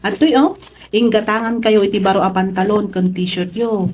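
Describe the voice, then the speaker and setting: A woman acting a generous city aunt in a radio drama. Her voice is mellow and medium-pitched with an Ilocano accent, and she speaks in a reassuring, encouraging way. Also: normal speed, slight background noise, close to an everyday voice.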